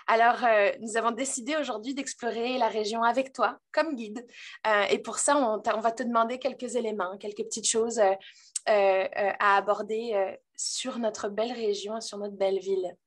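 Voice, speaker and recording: A woman's voice: fairly high pitch, steady tone, moderate pace. A woman hosting a virtual interview, reading a prepared introduction with many hesitations. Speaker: interview host.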